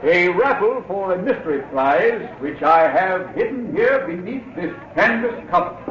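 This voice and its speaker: An actor playing a jolly charity auctioneer with showy sales patter, in 1940s radio acting. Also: male voice, high voice, lively intonation, measured pace. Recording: echoey dull old radio recording with crowd.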